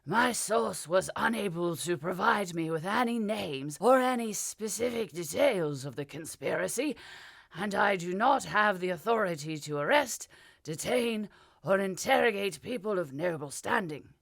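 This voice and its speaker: A woman voicing a scheming male royal adviser who speaks in smooth, formal deference. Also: mid-range voice, expressive, measured pace, no room sound, clean studio sound. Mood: deferential.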